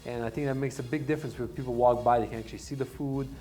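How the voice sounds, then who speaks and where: Fast-talking man from Serbia. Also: mid-range voice, fairly even intonation, dark tone. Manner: rambling, many fillers and restarts. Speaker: restaurant owner. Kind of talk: casual interview answers.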